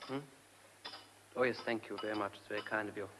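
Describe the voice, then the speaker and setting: A man's voice: lowish voice, fairly even intonation, fast talker, lightly acted. A man acting in a radio drama as a courteous but guarded stranger, hesitant and uneasy as he speaks. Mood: apologetic. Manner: hesitant, polite, evasive. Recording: background noise on radio drama.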